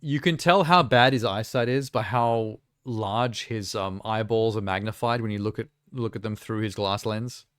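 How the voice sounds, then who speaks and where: A man's voice: lowish voice, moderate pace, few pauses, smooth, no room sound, clean studio sound. A man from South Africa giving casual, off-the-cuff reactions as a live streamer, with wry, mocking asides.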